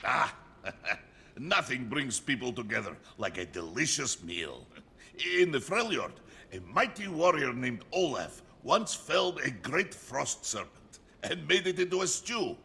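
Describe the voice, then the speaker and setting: An actor playing a big, warm-hearted warrior from a frozen land in a video game, with a husky, gravelly voice and a hearty storytelling manner. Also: male voice, medium pitch, sing-song, unhurried, a little hiss or hum, full character voice. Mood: jovial. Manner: hearty storyteller, speaks of himself in third person. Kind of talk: dramatic video game acting.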